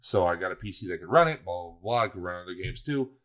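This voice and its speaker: A man with a fairly low voice talks casually on a podcast. He is blunt and swears freely, often circling back to repeat a phrase so it lands harder. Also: animated, fast, a bit bright. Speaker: video game segment presenter on a podcast. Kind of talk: casual chat.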